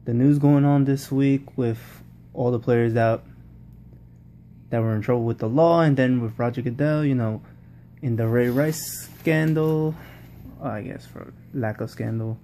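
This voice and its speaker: Rambling man with a dark voice. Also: mid-range voice, measured pace, slight background noise. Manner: rambling, repeats "like, seriously". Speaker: football vlogger. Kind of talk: casual post-game commentary.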